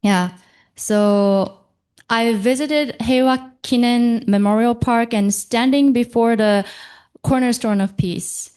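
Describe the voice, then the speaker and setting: A young woman from Japan, speaking as a panelist at a conference in a slow, halting way, with fillers and self-corrections. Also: on the high side, steady tone, darkish tone, clear, resonant tone.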